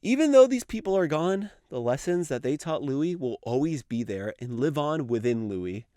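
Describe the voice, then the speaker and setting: Young man, gushing, very animated voice. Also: on the high side, moderate pace, no echo, studio quality. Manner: gushing, casual, profane. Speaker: video essayist. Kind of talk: enthusiastic fan commentary.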